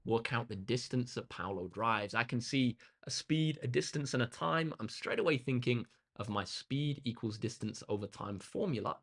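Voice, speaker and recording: Slightly hoarse young male maths tutor. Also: medium pitch, expressive, normal speed, few pauses, fairly bright tone. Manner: upbeat, chatty, reads out chat comments. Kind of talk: live-streamed exam walkthrough.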